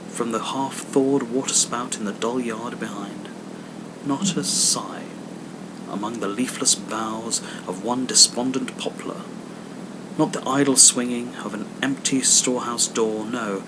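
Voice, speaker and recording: A man reading a classic story aloud in an expressive, deliberate, dramatic narrating style. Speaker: amateur audiobook reader. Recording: clear background noise with low rumble.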